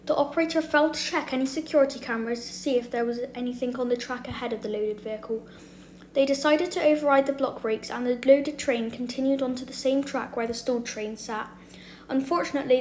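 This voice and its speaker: A woman's voice: sits high, a little mellow. A woman reading a scripted documentary narration as the narrator of a video. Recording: roomy echo, faint background noise.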